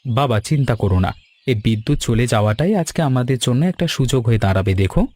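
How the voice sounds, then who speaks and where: A man's voice: mid-range voice, fast, darkish tone, dry recording, natural voice. A man voicing an earnest, devoted grown son in cartoon dialogue, with music behind him. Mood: calm, reassuring.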